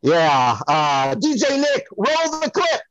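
A man's voice: high-pitched, huge pitch swings, slow, a bit bright. A man co-hosting a comedic podcast skit with a hesitant, needling manner, on a dull, dry microphone. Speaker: podcast co-host and showrunner.

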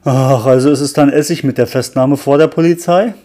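A man's voice: medium pitch, a little fast, mellow, close to an everyday voice. A man doing an eager sidekick detective.

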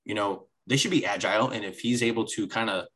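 A man's voice: on the low side, quick pace, fairly bright tone, husky. A man co-hosting a podcast in casual chat, rambling and restarting his sentences, emphatic about his opinions. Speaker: podcast co-host.

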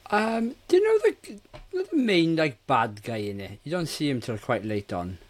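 A man's voice: fairly high pitch, huge pitch swings, moderate pace. A man in his forties co-hosting a casual film review podcast, talking in a rambling, hesitant way. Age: 40s.

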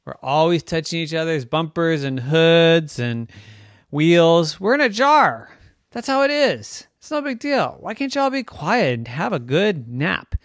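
A man's voice: on the high side, steady tone, takes it slowly, clear, resonant tone, no room sound, studio quality, voice pushed up. A man voicing a grumbling, weary toy car in a bedtime story, scolding and argumentative. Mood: resigned. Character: grumbling, weary neighbor cars bickering in a jar.

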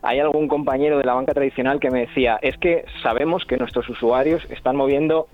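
A man's voice: mid-range voice, fairly even intonation, fast. Man, company guest, stumbles and repeats himself, narrow phone-like sound. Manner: hesitant, repeats words. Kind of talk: radio interview.

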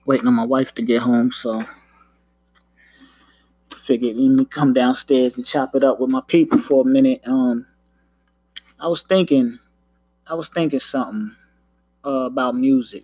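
New York man, laid-back rambling podcast host, mid-pitched voice, dry close sound. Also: darkish tone, breathy edge, no echo. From Long Island, New York. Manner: laid-back, rambling, lots of filler and "you know what I'm saying". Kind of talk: casual solo podcast rant. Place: home room, downstairs.

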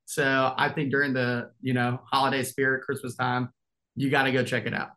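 A man's voice: medium pitch, flat delivery, fairly quick, pushed and bright. A man in his twenties, a podcast guest, talking in an easygoing, joking way. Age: 20s. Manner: easygoing, joking, laughs often. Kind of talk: casual interview chat.

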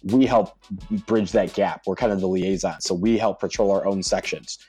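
An American man talking casually as a podcast guest, telling animated stories and laughing often. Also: low-pitched, normal speed, slightly rough, faint music behind. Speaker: podcast guest, soccer supporter leader. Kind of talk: casual conversation.

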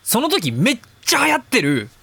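A man's voice: extremely high pitch, wildly swooping pitch, moderate pace, vocal fry, sharp tone, breathy edge, dry recording. A man hosting a casual comedic radio talk show, rambling and self-mocking and jumping into bits and catchphrases.

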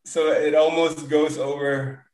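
A man from the Philippines, a guest on a podcast, talking in a relaxed and friendly way with frequent "uh" and "like.". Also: on the high side, measured pace, echoey room, distant mic, poor audio. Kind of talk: casual interview talk.

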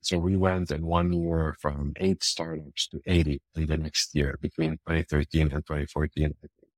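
A man from Lebanon, a conference founder, answering interview questions in a relaxed, hesitant way with frequent restarts. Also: extremely low pitch, normal speed, no echo.

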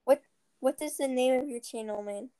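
A woman's voice: high voice, normal speed, mellow, clear, resonant tone. A woman host in a casual online interview who asks short questions and murmurs agreement. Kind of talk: casual chat.

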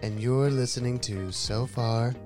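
A man co-hosting a podcast in casual chat, his voice dry and raspy from dust, joking around. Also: measured pace, music in the background. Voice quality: deeper than usual, hoarse. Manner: joking, playful banter. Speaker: podcast co-host.

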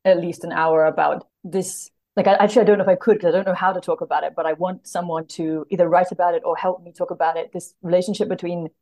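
A woman's voice: on the low side, steady tone, fast, few pauses, darkish tone. A woman speaking conversationally as an interview guest, earnest and thoughtful, often searching for the right words. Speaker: podcast guest, literary translator.

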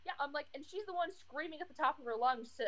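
A woman's voice: high voice, quick pace, fairly bright tone. A young woman co-hosting a casual podcast, ranting bluntly with frequent restarts. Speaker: podcast co-host. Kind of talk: casual chat.

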